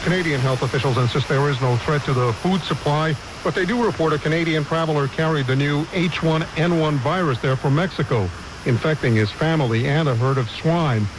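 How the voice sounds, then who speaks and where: A man's voice: on the high side, fairly quick. An American man reading scripted news as a radio anchor on a hissy recording. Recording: hissy, noisy radio aircheck.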